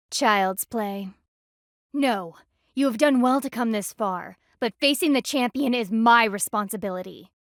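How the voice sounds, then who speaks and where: Game role: stern panda army lieutenant, woman, highish voice, formal and imperious, dryly disdainful, very dry close sound. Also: no room sound. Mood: haughty. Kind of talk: video game voice acting.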